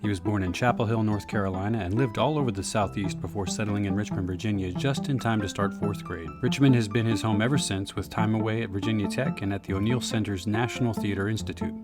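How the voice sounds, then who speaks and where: A man's voice: lowish voice, quick pace, hardly any pauses. A man reading scripted introductory narration as an announcer for a play reading. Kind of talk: scripted narration, biography and cast list. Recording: plucked guitar music behind. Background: plucked guitar music.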